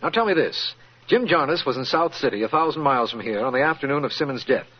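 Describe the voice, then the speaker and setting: An earnest lawman in a 1940s-style radio mystery. He is inquisitive and puzzled, with a medium-pitched, slightly rough voice on a muffled old recording. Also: expressive, fast. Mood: puzzled, curious. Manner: inquisitive, straight-man delivery. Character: earnest, puzzled male lawman questioning his detective friend.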